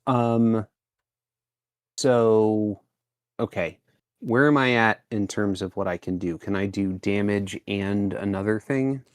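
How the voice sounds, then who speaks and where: A man with a lowish voice plays a tabletop roleplaying game. He speaks slowly and hesitantly, thinking aloud as he picks his options. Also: dry recording, studio quality. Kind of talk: casual game-table talk.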